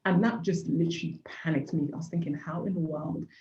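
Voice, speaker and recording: A woman's voice: low-pitched, steady tone, brisk, dark tone. A woman in her forties from Nigeria, a business founder, candidly telling her life story as a podcast guest. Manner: earnest, candid storyteller with repeated "literally". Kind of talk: personal story in a podcast interview. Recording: echoey room, dull lo-fi sound.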